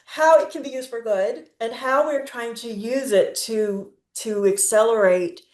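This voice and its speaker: A woman in her fifties giving a presentation on a video call, pausing often with fillers and restarts. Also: on the high side, a little mellow. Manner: speaks slowly with frequent fillers and restarts. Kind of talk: conference talk. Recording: roomy echo.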